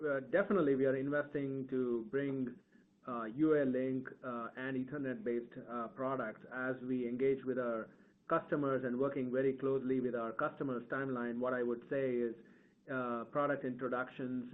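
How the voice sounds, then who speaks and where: Male company executive, mid-pitched and monotone, hesitant pausing delivery, answering on a narrowband conference call. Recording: narrow phone-like call line.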